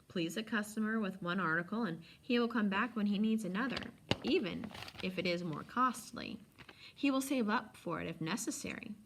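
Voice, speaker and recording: A woman's voice: mid-range voice, big pitch swings, normal speed, background noise, pitched above the performer's own voice. A woman voicing a polite, sly, coolly indifferent old man selling potions in a storybook reading, with precise, insinuating salesman's patter. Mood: confiding. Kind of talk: storybook read-aloud.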